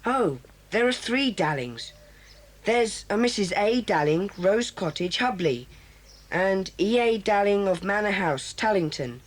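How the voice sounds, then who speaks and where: A woman's voice: mid-range voice, expressive. A woman voicing a bossy young boy leader. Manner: brisk, takes charge. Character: boy leader of a children's club, decisive. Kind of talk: audio drama for children.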